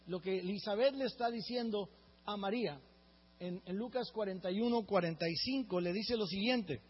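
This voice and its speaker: A man preaching a sermon, his voice sitting high and a little husky. He keeps turning to the congregation for agreement as he talks. The sound is dull and lo-fi, with long reverb from a big hall. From Mexico. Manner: earnest preaching, often asks the congregation "right?". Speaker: pastor.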